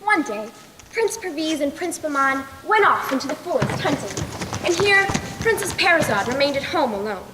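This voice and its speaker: Stage storyteller queen, played by a young woman: formal, measured, high-pitched, heard in a reverberant hall. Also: very animated. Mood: narrating calmly. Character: Scheherazade, composed clever queen telling stories to a sultan. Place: school stage play in a large hall. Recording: echoey hall, clear background noise.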